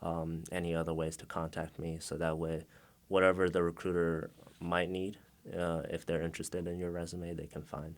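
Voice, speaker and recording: A young man from China talks casually as an interview guest. His voice sits very low, and he fills his answers with ums and likes. He laughs easily along the way. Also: normal speed. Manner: relaxed, many fillers, laughs often.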